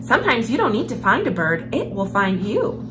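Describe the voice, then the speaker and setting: Young lady doing kids story time, lilting expressive storyteller voice, music playing behind. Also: medium pitch, normal speed. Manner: expressive, animated storyteller, with hushed passages. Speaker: host of a children's read-aloud series. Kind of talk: picture book read-aloud for children. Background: background music.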